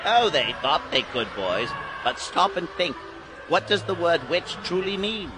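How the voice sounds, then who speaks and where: A man's voice: fairly high pitch, very animated, unhurried, heavy background noise. An actor playing a theatrical, mysterious older man who guides boys through history, declaiming grandly in an audio drama. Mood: emphatic, instructive. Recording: loud music bed behind the voice.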